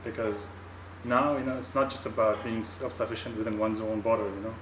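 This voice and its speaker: A man's voice: fairly low pitch, flat delivery, fairly quick, background noise. Hesitant young man from Bhutan. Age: young adult. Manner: hesitant, many fillers and "you know". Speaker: student. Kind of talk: casual chat. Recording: echoey room, far from the mic.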